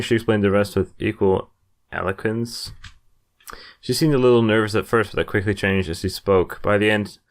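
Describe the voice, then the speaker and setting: A young man doing a gaming playthrough, reading text aloud and reacting casually into a close mic. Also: on the low side. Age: young adult. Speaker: let's player. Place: indoors at a computer, webcam view. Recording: game music behind the voice. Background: game music.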